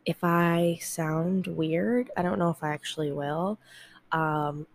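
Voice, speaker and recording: A woman's voice: lowish voice, takes it slowly. A woman hosting a podcast, talking casually and rambling with many ums, her voice nasal from congestion. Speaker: podcast host. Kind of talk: casual solo podcast talk. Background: fan whir.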